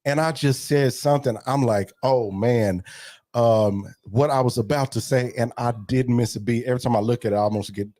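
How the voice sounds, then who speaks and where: A man in his forties telling stories in a relaxed interview, acting out what people said. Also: medium pitch, a little fast, no room sound. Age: 40s. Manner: animated storyteller, acts out quotes. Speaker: gospel artist guest. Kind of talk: casual interview storytelling.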